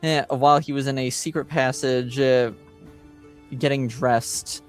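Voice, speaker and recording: A man acting as game master, giving a casual recap of a tabletop game session in a halting way with frequent mid-sentence pauses. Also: mid-range voice, fairly even intonation, smooth. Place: remote online stream call. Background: music playing underneath.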